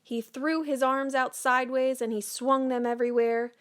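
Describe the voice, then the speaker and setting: A woman storyteller narrating a children's picture book in a measured, expressive read-aloud manner. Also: sits high.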